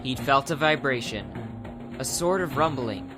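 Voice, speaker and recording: A man's voice: medium pitch, animated, a little slow. A man narrating a scripted fantasy adventure audiobook in a dramatic storytelling style. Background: music.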